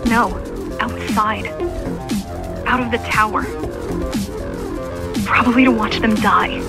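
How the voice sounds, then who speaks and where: Young woman resistance fighter, lightly high voice, earnest, brave, video game drama, prominent techno-style music behind. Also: steady tone, slow pace. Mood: earnest, informative. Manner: sincere, conversational. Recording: loud electronic music behind.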